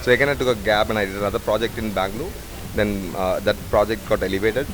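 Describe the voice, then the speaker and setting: A man's voice: on the low side, fast talker. A man, a head bartender talking in a rambling way with many fillers. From Sri Lanka. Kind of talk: casual interview. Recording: noisy bar ambience.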